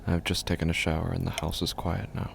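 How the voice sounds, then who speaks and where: Male actor as grieving widower living alone, very flat intonation, gravelly fry, halting understated horror narration. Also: low-pitched, noisy background. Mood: numb, quiet. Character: bereaved widowed man living alone, subdued. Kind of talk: scripted horror fiction narration.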